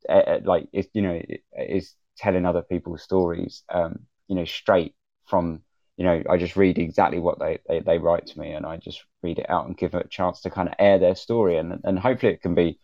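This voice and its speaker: A man talking casually as a podcaster on a panel, in a halting way with repeats and soft qualifiers. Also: sits low, steady tone, vocal fry. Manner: halting, repeats and hedges softly. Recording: dull, roomy, echoing audio.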